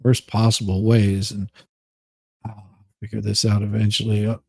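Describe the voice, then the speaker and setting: A man hosting a livestream, moving between casual chat and mock-dramatic readings with theatrical, drawn-out emphasis. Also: fairly low pitch, little pitch movement, gravelly, darkish tone, no echo. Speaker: livestream host.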